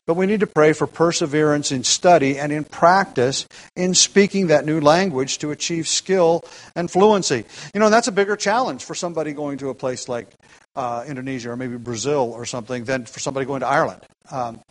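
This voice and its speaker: Halting man preaching a sermon. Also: fairly high pitch, low-quality recording. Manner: halting, many restarts and fillers, chuckles lightly. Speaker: pastor.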